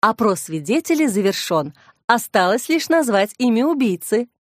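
A woman's voice: a little high, big pitch swings, normal speed, no echo, clean studio sound. A woman narrator voicing a confident female investigator who questions politely and pointedly. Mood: satisfied, accusing.

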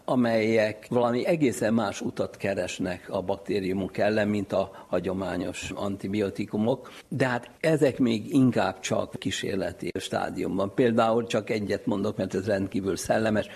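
Male expert for an interview, low and husky, measured and explanatory delivery. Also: darkish tone. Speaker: infectious disease specialist being interviewed.

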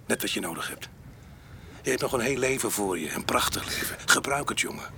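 An actor playing a rough, weary fugitive murderer in a radio drama, gruff and plain-spoken but fatherly to his comrade. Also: mid-range voice, lively intonation, a little fast, noisy background. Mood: urgent, fatherly.

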